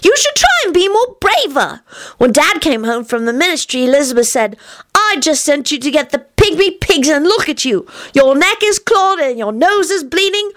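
Storybook little boy woman performing: very high, lively swooping pitch, cheerful, bold, knowing and earnest. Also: normal speed. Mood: pious, lively. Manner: knowing, pious, childish grammar, earnest.

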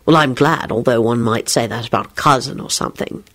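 A woman voicing an earnest, wry young man in love, soft and understated, in a storybook reading. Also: very deep voice, brisk, voice pushed down. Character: earnest, wry, smitten young man, playfully self-pitying.

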